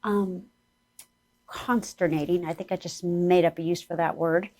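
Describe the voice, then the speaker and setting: A woman with a hoarse voice leading a gentle, earnest guided spiritual exercise as a spiritual teacher. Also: on the low side, steady tone. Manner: slow, gentle and soothing guidance; earnest, speaks about Spirit and angels.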